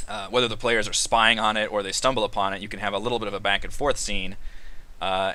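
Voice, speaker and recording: A man's voice: fairly low pitch, sharp tone, clear, resonant tone. A man hosting a casual roundtable discussion, explaining things in an informal way with frequent asides. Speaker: host of a tabletop RPG discussion.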